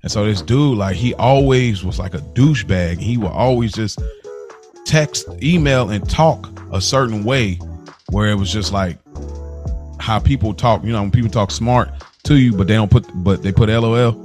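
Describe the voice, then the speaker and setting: American man, low voice, podcast co-host, slangy storytelling, acted-out quotes, music behind. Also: moderate pace, a little mellow. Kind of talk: casual storytelling chat.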